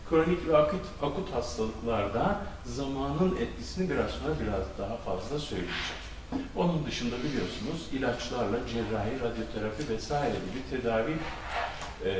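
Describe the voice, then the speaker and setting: Physician lecturing in an echoey hall. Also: male voice, mid-range voice, noisy background. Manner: measured lecturing with frequent hesitation fillers. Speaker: conference speaker, physician. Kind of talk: academic symposium talk. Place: conference hall. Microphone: handheld mic. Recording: echoey hall, distant camera audio.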